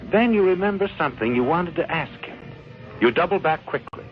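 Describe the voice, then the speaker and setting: An actor playing the ominous narrator of an old radio crime thriller, speaking deliberately and with suspense in a 1940s style. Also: male voice, fairly high pitch, animated, close to an everyday voice. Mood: suspenseful. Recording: muffled old radio recording with music. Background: music.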